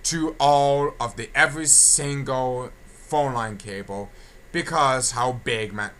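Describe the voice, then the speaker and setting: A young man giving a casual audio update, halting, repetitive and complaining. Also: medium pitch, a bit bright. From England. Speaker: video creator giving channel updates. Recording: clear background noise.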